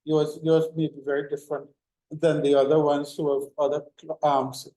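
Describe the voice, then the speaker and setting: A man's voice: on the high side, flat delivery, moderate pace, dark tone, echoey room, rough recording. A middle-aged man giving an informal classroom lecture, full of fillers and restarts. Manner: rambling, many fillers and restarts. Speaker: university lecturer.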